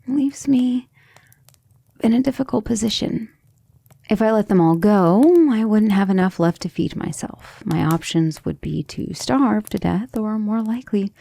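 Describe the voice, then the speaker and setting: A woman playing a gentle, earnest, devoted female vampire in an intimate ASMR roleplay, speaking tenderly and a little hesitantly. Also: medium pitch, no room sound. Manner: soft, hesitant, tender, often breathy near-whisper.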